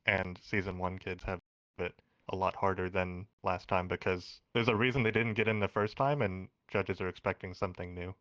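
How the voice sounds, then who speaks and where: A man's voice: sits low, a bit bright, no room sound. A man speaking casually as an eliminated contestant in an online interview, joking and rambling through his answers. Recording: dull, lo-fi mic sound.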